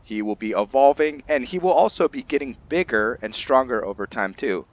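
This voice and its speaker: A man hosting a gaming video, giving casual, halting commentary in an informal, rambling way. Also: mid-range voice, expressive, no echo, dull audio. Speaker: gaming channel host. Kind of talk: casual gameplay commentary introducing a roleplay character.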